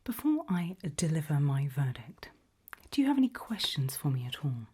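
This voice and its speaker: A woman in an ASMR roleplay voicing a polite, quietly menacing HR interviewer in a breathy, deliberate whisper. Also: low-pitched, sing-song, a little hiss or hum. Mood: calm, probing. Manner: slow, deliberate, softly spoken.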